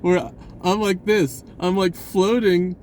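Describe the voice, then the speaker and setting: A man telling a personal story in a casual, rambling, informal way with an animated, giggly delivery. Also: sits high, takes it slowly, a little hiss or hum.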